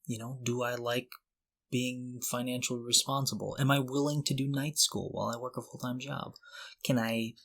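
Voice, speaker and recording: A man's voice: medium pitch, little pitch movement, normal speed, vocal fry, a bit bright. A middle-aged man co-hosting a podcast, talking casually in an earnest, encouraging, motivational way. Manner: earnest, encouraging, poses questions to the listener. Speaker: podcast co-host.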